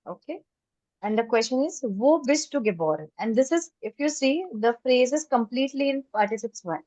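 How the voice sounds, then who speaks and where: A woman takes a small online class through German, switching easily between English and German. Her voice sits a little high and sounds dry and close to the mic. She explains patiently, one step at a time. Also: lively intonation, normal speed, a little mellow, no echo, rough recording. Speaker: German teacher.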